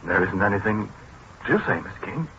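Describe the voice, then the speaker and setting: An American actor playing a gentle, disgraced scientist in a 1940s radio drama, hesitant and apologetic, with self-pity in his delivery. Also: on the low side, lively intonation. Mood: hesitant, downcast. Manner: hesitant, halting, self-pitying. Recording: muffled, noisy 1940s radio recording.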